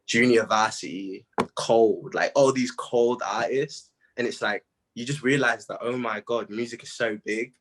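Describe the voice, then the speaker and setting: A young man chatting casually in an interview, telling stories in an animated, slangy way with plenty of "bro" and "man". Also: mid-range voice, unhurried. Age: young adult. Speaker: music artist being interviewed. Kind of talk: casual chat.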